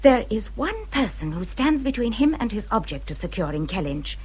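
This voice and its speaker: Husky, bitter English widow. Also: female voice, a little high, very animated, normal speed, a little mellow. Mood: insistent. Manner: emphatic, formal, dramatic delivery. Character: bitter, injured widow warning a younger friend. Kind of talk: British period radio drama acting.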